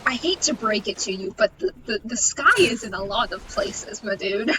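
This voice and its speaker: A woman chatting casually with friends on a gaming stream, playful and teasing with a wry manner. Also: normal speed. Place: voice chat on a game stream. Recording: noisy voice chat, steady rumbling hiss.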